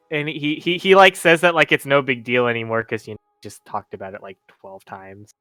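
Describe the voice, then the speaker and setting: A young man playing a tabletop roleplaying game, speaking in character in a halting, stammering way. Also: a little high, pushed and bright, dry recording, soft background music. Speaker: player.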